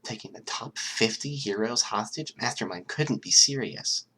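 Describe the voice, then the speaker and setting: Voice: mid-range voice, normal speed, pushed and bright, slight background noise. A narrator reading scripted fiction aloud as an audiobook.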